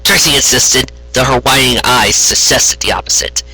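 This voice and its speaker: A man reading a story aloud as its narrator, in an expressive storytelling style. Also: medium pitch, moderate pace, husky, low-quality recording. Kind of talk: expressive fan fiction narration, read aloud.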